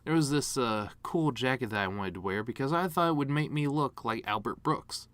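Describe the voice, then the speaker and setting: A man performing a dramatic monologue as a bitter, grieving horse giving his mother's eulogy, rambling with sardonic, self-deprecating deadpan humor. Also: mid-range voice, moderate pace, no room sound, lightly acted. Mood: wistful, sardonic.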